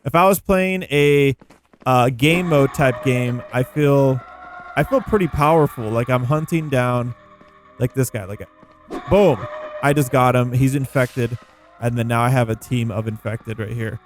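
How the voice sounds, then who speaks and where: A man giving casual gameplay commentary, thinking out loud in an informal way. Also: medium pitch, unhurried. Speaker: gaming video creator. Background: background music.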